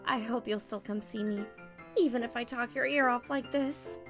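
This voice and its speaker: A woman as a high-voiced, chatty school nurse. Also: normal speed, over music, close to an everyday voice. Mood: wistful, confiding. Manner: chatty, casual, confiding. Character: chatty, friendly, self-deprecating female school nurse. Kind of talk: fan dub voice acting.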